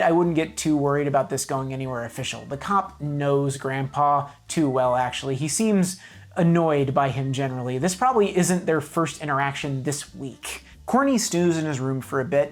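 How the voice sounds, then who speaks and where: Low, animated woman, wry reviewer. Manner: wry, mock-serious, emphatic. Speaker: video reviewer, host. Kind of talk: scripted comedic review narration.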